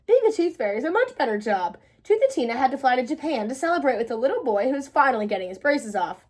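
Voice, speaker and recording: Storybook Christmas elf female reader: cheery, high, singsong, animated. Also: quick pace. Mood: cheery, relieved. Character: cheery, overworked Christmas elf writing a letter.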